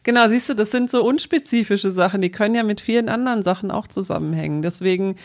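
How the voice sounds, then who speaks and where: A woman's voice: mid-range voice, quick pace, darkish tone. A woman, explaining things in a casual, colloquial way as a co-host. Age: 40s. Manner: informal, colloquial with some English slang.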